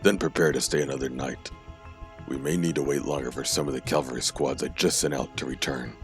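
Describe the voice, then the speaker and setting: Man doing a very deep, imperious dark general. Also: fairly even intonation, brisk, faint music behind. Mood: impatient. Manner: imperious, clipped orders. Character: stern, commanding male dark knight general, impatient.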